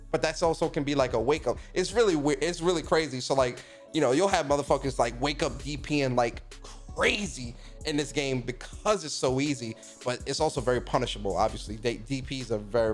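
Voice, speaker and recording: A man in his thirties hosting a casual gaming livestream, reading out viewer comments and giving loose, profane shout-outs to the chat. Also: mid-range voice, brisk, soft background music. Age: 30s. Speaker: streamer and podcast host.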